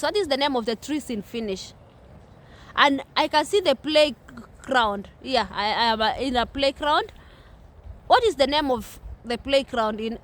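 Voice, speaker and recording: Kenyan woman, young, high voice; earnest vlogger, restarts a lot, recorded outside. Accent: Kenyan English. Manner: repetitive, earnest, many restarts. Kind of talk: casual talk to camera. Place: outdoors at a playground.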